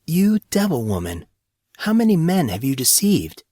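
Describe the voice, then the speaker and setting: A man's voice: fairly high pitch, wildly swooping pitch, normal speed, warm tone, dry recording, pitched above the performer's own voice. Man as teenage schoolboy, self-doubting, teasing, audiobook dialogue, dry sound. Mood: mock outrage.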